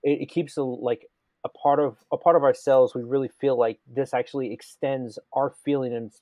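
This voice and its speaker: A man co-hosts a casual gaming podcast, his voice mellow and warm, sitting at mid pitch. He talks with eager enthusiasm, circling back and restarting his thoughts. The sound is dry and close, with no room around it. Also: steady tone, moderate pace, no echo. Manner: enthusiastic, rambling with many restarts. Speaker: podcast co-host.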